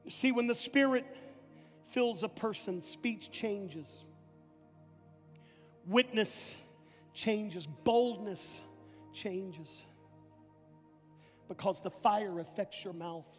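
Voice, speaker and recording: A man preaching with a strikingly high voice. He drives each point home, repeating and restarting his phrases. The sound rings through a large echoing church, with soft music underneath. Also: very slow, pauses often. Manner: emphatic repetitive preaching with stammered restarts. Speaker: pastor. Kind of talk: sermon. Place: church sanctuary. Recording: echoey hall with faint music.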